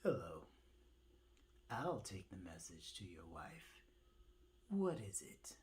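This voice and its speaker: A woman voicing a secretive male bird who speaks in a low, hushed whisper. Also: sing-song, takes it slowly, a little hiss or hum, heavily acted. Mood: conspiratorial.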